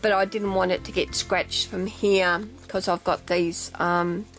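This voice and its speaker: A woman's voice: on the low side, level tone, measured pace, fairly bright tone, soft background music, poor audio. A woman chatting casually on camera as she shows her craft album, with lots of ums and "okay?" checks. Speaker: craft vlogger. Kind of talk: casual show-and-tell craft video. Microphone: camera mic at a tabletop, hands only in shot.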